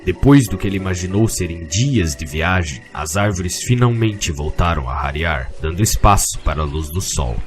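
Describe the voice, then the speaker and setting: A man with a Brazilian accent narrating a scripted fantasy story in a measured, literary way. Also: sits low, expressive. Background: music.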